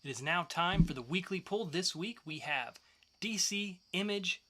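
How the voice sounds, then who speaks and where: A man's voice: high-pitched, expressive, normal speed, sharp tone. A man hosting a podcast, casually reviewing comic books with enthusiastic, loose, slangy asides. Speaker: podcast host.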